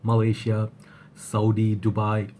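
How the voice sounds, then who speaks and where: A man with a flu-husky voice, talking casually to his viewers and often rambling and restarting. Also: fairly low pitch, monotone, slow, warm tone, a little hiss or hum. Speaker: fragrance reviewer. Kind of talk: casual vlog talk to camera.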